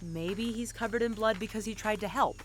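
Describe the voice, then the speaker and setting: A woman's voice: medium pitch, moderate pace, a little hiss or hum, close to an everyday voice. A woman acting a skeptical, sarcastic police investigator with a wry, questioning manner in an audio drama.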